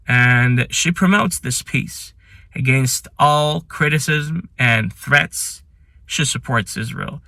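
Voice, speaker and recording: A man talks in English, which is not his first language, with an earnest, admiring tone and some halting, imperfect phrasing. His voice is bright and forceful, at a middle pitch for a man. It sounds very dry, close on the mic. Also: no echo. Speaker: channel host. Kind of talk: ranking list commentary.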